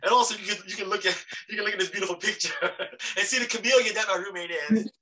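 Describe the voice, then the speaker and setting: A man's voice: high voice, racing, sharp tone, recorded from a distance, a little hiss or hum. A man hosting a casual, chatty interview, effusive and emphatic, often repeating his words, recorded a little off-mic. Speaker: talk show host, actor.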